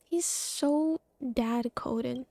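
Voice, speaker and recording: A woman's voice: sits high, drawn-out words, gravelly, warm tone, no room sound. A woman streaming a game, reacting in casual, playful chat with exclamations and swearing.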